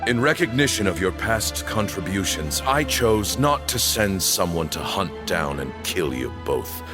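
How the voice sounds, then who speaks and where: An actor playing a solemn male temple elder in a video game, speaking in grave, measured declamations. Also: deep voice, sing-song, over music. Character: solemn male cult elder, proud and zealous. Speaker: temple leader.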